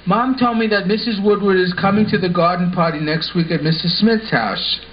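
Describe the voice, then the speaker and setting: A man's voice: sits high, a little fast, lightly acted. A man voicing a polite, helpful boy with an earnest, simple way of explaining, in an amateur puppet show. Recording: muffled home recording with hiss.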